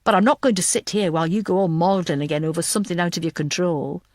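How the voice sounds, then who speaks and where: A woman's voice: on the low side, fairly even intonation, fast, no room sound, clean studio sound, lightly acted. Woman playing a blunt, bossy female pathologist. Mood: exasperated, firm. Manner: blunt, emphatic, exasperated. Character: blunt, bossy, caring female pathologist, loyal best friend.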